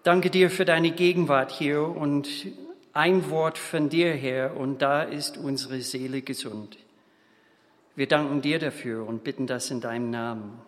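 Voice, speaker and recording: A man in his fifties from Minnesota with an American accent, preaching a sermon in a halting way, with restarts and small slips. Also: mid-range voice, steady tone. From Minnesota, USA. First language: English. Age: 50s. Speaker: guest preacher. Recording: long hall reverb.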